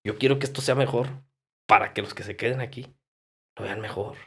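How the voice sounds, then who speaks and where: A man's voice: fairly low pitch, brisk, gravelly, slight background noise. A Mexican man from Durango chatting casually on a podcast, emphatic and colloquial, fond of rhetorical questions and salty language. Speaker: podcast guest. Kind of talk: casual podcast conversation with anecdotes.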